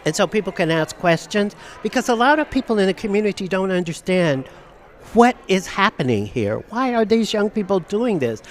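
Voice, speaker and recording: An older woman from Southern California, a professor speaking earnestly and in measured phrases in an outdoor news interview on campus. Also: on the low side, slight background noise.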